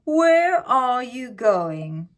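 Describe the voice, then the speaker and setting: Casting: menacing male wolf for a fairytale, played by a woman, snarling sweet talk, drawn-out delivery. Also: fairly high pitch, big pitch swings, pitched above the performer's own voice, heavily acted. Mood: menacing greeting. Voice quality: growling, snarling. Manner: falsely sweet, coaxing, drawn out. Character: big bad male wolf, sly and menacing.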